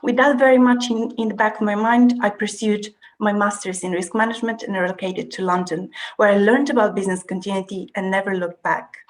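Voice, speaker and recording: Woman, Bulgarian accent, interview guest, measured and detailed, occasional hesitations, mid pitch, video call mic. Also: fairly even intonation, rough recording. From Bulgaria, Russia and Cyprus. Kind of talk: conversational interview.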